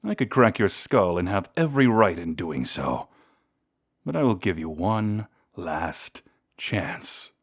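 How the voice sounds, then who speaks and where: A man voicing a proud, seductive vampire lord in a scripted audio roleplay, speaking in a theatrical, deliberate and intimate way. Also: sits low, sing-song, full character voice. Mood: indignant, commanding. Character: seductive, menacing vampire lord, proud and secretly tender toward lost love.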